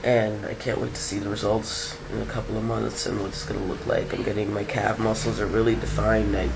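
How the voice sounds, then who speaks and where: Gravelly low-voiced young man, sleepy. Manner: relaxed, rambling, many ums. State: just woke up, low-key. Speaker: vlogger. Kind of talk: casual video diary. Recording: noisy background hiss.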